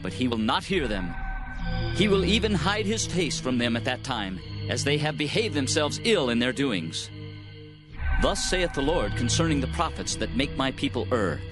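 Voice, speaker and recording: A man's voice: mid-range voice, very animated, normal speed, close to an everyday voice. A man playing an impassioned prophet in a dramatized scripture reading, declamatory and theatrical as he laments and warns his people, with music behind. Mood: indignant. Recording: music under the voice.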